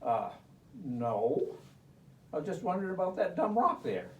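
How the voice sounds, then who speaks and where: Man voicing a dim puppet sidekick, wildly swooping pitch. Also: sing-song, dull audio. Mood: naive curiosity. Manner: slow-witted, hesitant, naive. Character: dim, curious, easily distracted puppet sidekick. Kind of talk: comic church puppet show dialogue. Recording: roomy, distant, hissy live recording.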